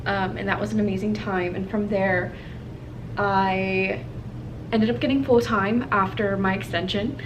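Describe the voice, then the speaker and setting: A woman's voice: mid-range voice, steady tone, unhurried, highs cut off. A young American woman from Hawaii chatting casually and informally to camera in her apartment, thinking aloud as she does her makeup. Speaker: video blogger. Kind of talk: casual chat to camera while doing makeup. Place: indoors in an apartment. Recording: clearly noisy home recording.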